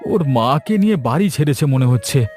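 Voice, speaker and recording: A man voicing a defensive, evasive village father who shirks blame in a fairy-tale cartoon, with a husky voice. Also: medium pitch, lively intonation, moderate pace, darkish tone, faint music behind, natural voice.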